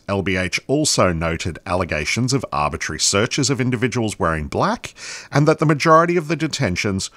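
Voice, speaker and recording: Deep-voiced man reading radio news. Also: a bit bright, no room sound.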